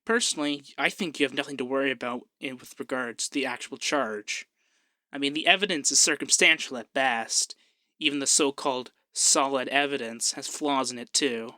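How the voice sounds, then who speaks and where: Man playing a bright-voiced peppy young femboy barrister. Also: mid-range voice, moderate pace, no echo, close to an everyday voice. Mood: reassuring, businesslike.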